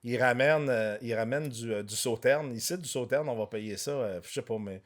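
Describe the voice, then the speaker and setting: Quebec guy in his 40s, casual podcast chat, lots of swearing, trips over his words, middle pitch. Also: a little fast. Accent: Quebec French. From France. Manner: laid-back, swears a lot, stammers on repeated words. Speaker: guest.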